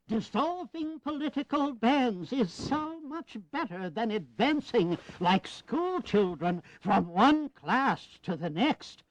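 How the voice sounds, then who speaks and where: An actor playing a shrewd, witty elder statesman in a historical audio drama, with a wry, deliberate delivery. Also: high voice, a bit bright, no room sound, full character voice, rough recording. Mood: amused, droll. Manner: droll, theatrical, deliberate.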